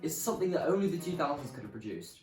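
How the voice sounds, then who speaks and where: A presenter speaking casually to the viewer in an animated, jokey, mock-exasperated manner. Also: mid-range voice, quick pace. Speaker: video presenter. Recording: roomy, distant mic, music behind.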